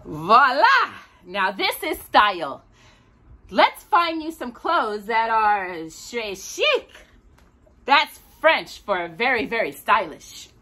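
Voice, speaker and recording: An exuberant, fashion-loving storybook friend, voiced by a woman reading aloud. She is high and swooping, gushing theatrically with French flourishes and stretching her words out. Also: big pitch swings, slow pace, pushed and bright, heavily acted. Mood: showy, excited. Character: stylish, exuberant female friend who loves French flourishes.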